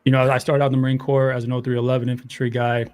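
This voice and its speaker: A man from Afghanistan, a guest on a podcast, talking in a relaxed, casual way and often repeating words as he thinks. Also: mid-range voice, racing, slight background noise. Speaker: guest, tactics instructor.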